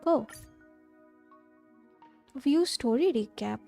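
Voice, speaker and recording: Slow, high-voiced woman streaming a game. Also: animated, gravelly, mellow, soft background music. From India. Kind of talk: casual livestream reactions and reading game text aloud.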